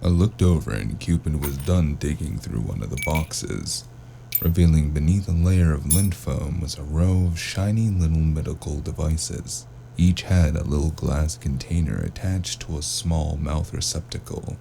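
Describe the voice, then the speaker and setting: Man acting a very deep, gravelly noir investigator. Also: unhurried, warm tone, slight background noise, full character voice. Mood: wry narrating. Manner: wry, hard-boiled storytelling. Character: hard-boiled male cyberpunk noir investigator with magic, wry and weary. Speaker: narrator and lead character. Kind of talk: noir audio drama narration and dialogue.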